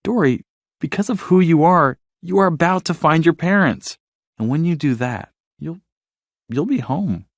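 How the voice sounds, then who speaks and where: Man as an earnest father fish, lively intonation. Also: fairly high pitch, normal speed, creaky, dry recording, studio quality, pitched above the performer's own voice. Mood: tender. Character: earnest, caring father clownfish.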